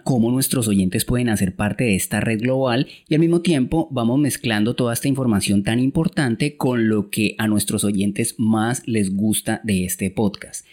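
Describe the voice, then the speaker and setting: A man's voice: medium pitch, a little mellow. A man with a Colombian Spanish accent co-hosting a travel podcast in a conversational style. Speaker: podcast co-host.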